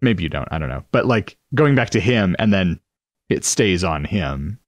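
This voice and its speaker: A man's voice: sits low, sing-song, normal speed, dry recording. A man co-hosting a podcast, talking casually and reflectively as he works through ideas, often tentatively. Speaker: podcast co-host. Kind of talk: casual brainstorming discussion.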